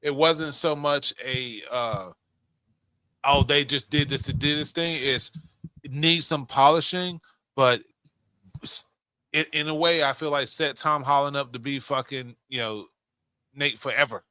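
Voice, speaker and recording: A man's voice: medium pitch, fairly even intonation, a little slow, long pauses, bright, forceful tone, clean tone, dry recording. A man co-hosting a casual podcast about movies, talking in an informal, slangy way and often repeating his phrases. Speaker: podcast co-host. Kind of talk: casual movie discussion chat.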